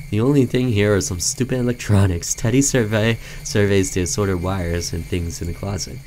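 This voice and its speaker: A man's voice: on the low side, a little mellow, slight background noise. A man doing casual let's-play commentary, reading a game's narration aloud and reacting informally as he goes. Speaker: gaming video host reading a game's text aloud.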